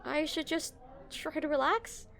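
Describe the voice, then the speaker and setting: Woman doing a nervous nerdy game dev girl on a date, high voice, stammers a lot, awkward and smitten, romance roleplay audio. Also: measured pace, gravelly, a bit bright, heavily acted. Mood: nervous, flustered. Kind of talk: scripted romantic roleplay, spoken to the listener.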